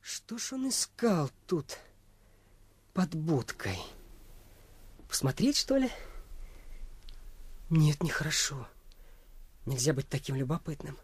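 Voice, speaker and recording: An actor playing a curious, cautious young boy muttering to himself in a radio play, breathy and half-whispering. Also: medium pitch, animated, slow pace, pauses often. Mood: curious, furtive. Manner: hushed muttering to himself, hesitant.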